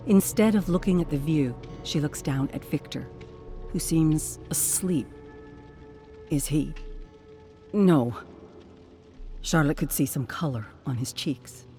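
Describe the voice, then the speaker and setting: Low, mellow, slow-paced woman narrator. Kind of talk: dramatic scripted story narration for a game.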